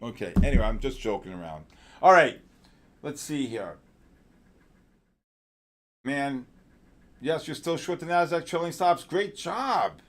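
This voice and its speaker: A man's voice: fairly high pitch, expressive, fairly bright tone. A middle-aged man from New York with a New York accent, hosting a live trading stream in casual, rambling, jokey chat and calling out viewers by name. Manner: drawn-out announcer-style calls. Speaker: trading livestream host.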